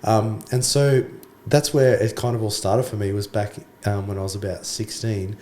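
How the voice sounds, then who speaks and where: Male worship pastor, hoarse and croaky, casual podcast guest, lowish voice, echoey recording. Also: moderate pace. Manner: relaxed, chatty, says mate and bro. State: hoarse, lost his voice. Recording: echoey room, faint background noise.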